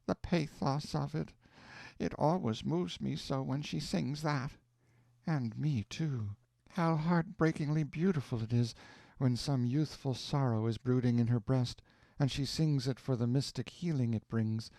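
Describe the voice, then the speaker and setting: A man voicing a gravely ill mother who speaks tenderly and wistfully of her daughter. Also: medium pitch, unhurried, warm tone, no echo, pitched above the performer's own voice.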